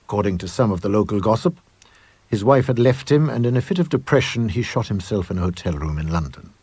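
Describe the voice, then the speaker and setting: A man with a husky voice narrating a ghost story in a measured, expressive, old-fashioned gentlemanly manner. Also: lowish voice, no echo. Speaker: reader of an audio ghost story. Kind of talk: dramatic first-person scripted narration.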